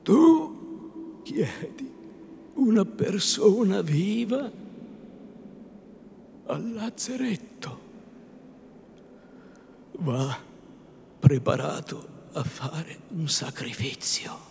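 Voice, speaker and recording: Man playing a stern old friar, high booming shouts, gravelly, slow, echoey hall. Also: sing-song, a little hiss or hum, exaggerated voice. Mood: solemn counsel. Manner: solemn, rising to furious shouts. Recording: echoey hall, faint ambience.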